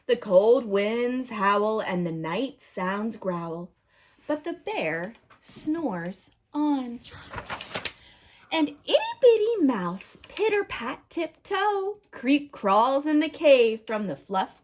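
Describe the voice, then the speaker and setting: A woman reading a picture book aloud to children as a storytime reader, dramatic and emphatic, drawing out words. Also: a little high.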